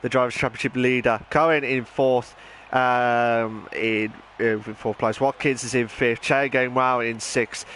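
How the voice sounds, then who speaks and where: A man hosting and commentating an online racing league, his voice hoarse and nearly gone, talking in an excitable, chatty way. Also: medium pitch, unhurried, slight background noise. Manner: excitable, shouting during qualifying, then calm chatty standings rundown. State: hoarse, lost voice. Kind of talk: live esports race commentary.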